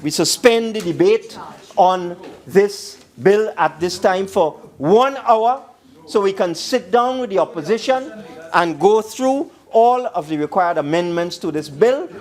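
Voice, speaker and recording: High-pitched Trinidadian man in debate. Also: animated, unhurried, darkish tone. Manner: emphatic, repeats phrases for stress. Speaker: government minister speaking in parliament. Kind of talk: parliamentary debate speech.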